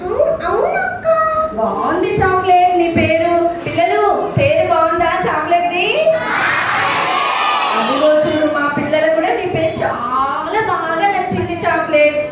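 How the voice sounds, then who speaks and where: Noisy echoing hall, woman, very high animated voice, playful children's show host, coaxing questions. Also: moderate pace. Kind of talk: live children's show banter. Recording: distant echoey hall, loud children's crowd noise.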